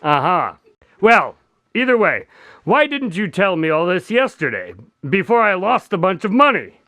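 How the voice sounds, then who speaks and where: Male actor as exasperated sidekick, radio drama, lively swooping intonation, reactive and indignant, dry studio sound. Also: sits high, normal speed, dry recording, exaggerated voice. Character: Watson, an excitable, easily exasperated male detective's sidekick. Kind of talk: modern radio drama dialogue.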